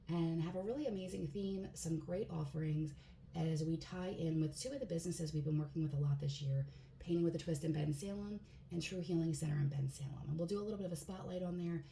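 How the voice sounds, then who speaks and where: Hoarse, gravelly woman reading tarot cards. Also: low voice. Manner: chatty, relaxed, many fillers. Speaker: tarot card reader. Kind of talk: casual spoken card reading. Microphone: phone. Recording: echoey room, faint background hiss.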